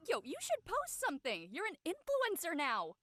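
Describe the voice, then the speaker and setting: A woman playing a breezy, cheeky, carefree high-school student in an amateur script reading. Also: wildly swooping pitch, normal speed, pushed and bright, exaggerated voice. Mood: egging on. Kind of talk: amateur audio drama table read.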